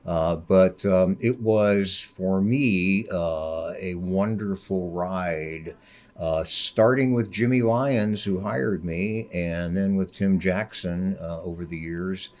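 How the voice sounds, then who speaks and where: Deep-voiced older man with radio pipes. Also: fairly even intonation, a little mellow. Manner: unhurried, many uh fillers, jokey. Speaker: public radio on-air host. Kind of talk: casual radio studio chat.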